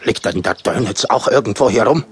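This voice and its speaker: An actor playing a pompous, bewildered German dictator with stilted, declamatory, old-fashioned speech in a radio play. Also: male voice, lowish voice, fairly quick, slight background noise, close to an everyday voice. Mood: pompous musing. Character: pompous bewildered revived German dictator, middle-aged man. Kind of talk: film radio play, inner monologue and dialogue.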